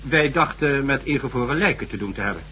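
A man's voice: medium pitch, animated, fast talker, sharp tone. An actor playing a stern, sardonic government official in a radio drama, formal and condescending as he lectures. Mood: measured, recounting. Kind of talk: Dutch radio drama acting. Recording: faint hum and noise, old radio recording.

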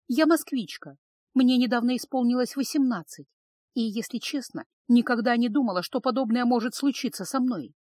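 A woman's voice: a little high, normal speed, a little mellow, no room sound, clean studio sound, voice pushed up, lightly acted. A woman voicing a frightened, homesick eighteen-year-old captive girl in an audiobook, pleading and sobbing. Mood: tearful, scared.

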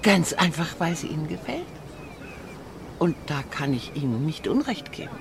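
Worldly older lady, lively intonation. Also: low voice, moderate pace, full character voice. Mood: knowing, teasing. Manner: formal, reflective, gently teasing. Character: worldly, wistful, teasing older lady traveller. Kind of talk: German radio drama acting. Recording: clear background ambience noise.

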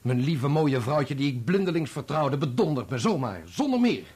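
Wounded radio-drama husband: proud, sarcastic, husky, with wide swings in pitch. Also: male voice, very animated, normal speed, a little mellow. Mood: furious, accusing. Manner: bitter, sarcastic, accusing. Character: bitter, betrayed husband, proud and wounded musician. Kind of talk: Dutch radio play acting.

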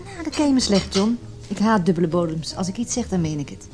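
A woman's voice: medium pitch, sing-song, quick pace, warm tone, faint music behind, natural voice. An actress playing an earnest, frank married woman in a radio play. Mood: earnest, self-conscious. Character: sincere, earnest married woman named José.

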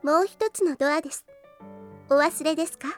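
Audio drama role: actress as a devoted, demure young anime heroine, very high voice, hesitant and extremely polite. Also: female voice, a little fast, faint music behind, lightly acted. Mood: calm, polite. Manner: very polite, hesitant, apologetic speech.

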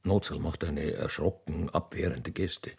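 A man reading scripted stage directions as a narrator, in a measured, descriptive way. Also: very deep voice, fairly even intonation, creaky, a little mellow, husky.

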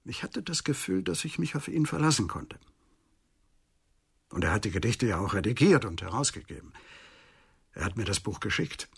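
Weary middle-aged lawyer, husky, thoughtful and halting, for a radio crime play. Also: male voice, fairly low pitch, sing-song, exaggerated voice. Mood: wistful, reflective. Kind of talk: German radio crime drama acting.

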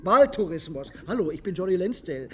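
A man's voice: high-pitched, big pitch swings, fast talker, a little hiss or hum. An actor playing an impassioned, cynical male researcher in a radio play, lecturing in an emphatic, sardonic way. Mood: angry, mocking. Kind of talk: German radio play acting.

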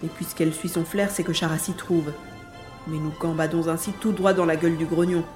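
A woman's voice: low-pitched, fairly even intonation, brisk, music in the background, natural voice. A woman playing a brave young boy on the run in an audio drama, urgently telling what happens. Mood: anxious, alert. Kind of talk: dramatised audio serial acting.

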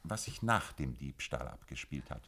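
An actor playing a calm, curt detective questioning a suspect in a 1950s radio drama. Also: male voice, extremely low pitch, normal speed, gravelly, heavily acted. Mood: cool.

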